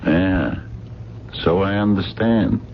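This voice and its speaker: A man acting in a 1970s radio drama as a sardonic, world-weary working man with a dry, deliberate delivery. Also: deep voice. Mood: guarded, dry. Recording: muffled, noisy, humming vintage radio recording.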